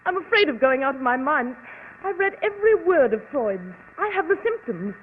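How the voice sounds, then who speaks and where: An actress playing an anxious wife confessing to a doctor, speaking in hesitant, halting bursts in 1940s radio style. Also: female voice, sits high, very animated. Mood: troubled. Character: anxious young wife fearing her own urges. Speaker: patient. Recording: tinny old radio broadcast with hiss.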